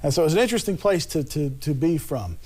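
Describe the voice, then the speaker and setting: Guy interviewing, rambly story-telling with lots of uhs, a touch higher voice. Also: lively intonation, a little mellow, slight background noise. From New Jersey. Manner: rambling storyteller, halting with fillers. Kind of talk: casual chat.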